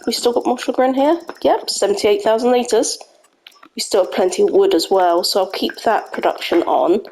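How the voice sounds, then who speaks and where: A woman with a slightly croaky voice narrates her farming game session in an easy, chatty way, thinking aloud as she plays. Her home microphone picks up clear background noise. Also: mid-range voice, normal speed, a little mellow, poor audio. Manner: chatty, talks to herself while playing. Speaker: gaming channel host. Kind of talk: casual let's-play commentary. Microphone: home desk microphone.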